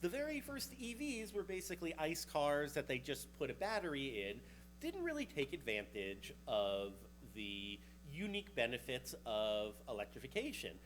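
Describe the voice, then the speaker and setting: A man's voice: on the high side, big pitch swings, normal speed, fairly bright tone, a little hiss or hum. A man with a New York accent gives a casual conference talk about vehicle technology, chatty and joking now and then. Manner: casual and chatty, with a joking aside here and there. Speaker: researcher presenting at a conference.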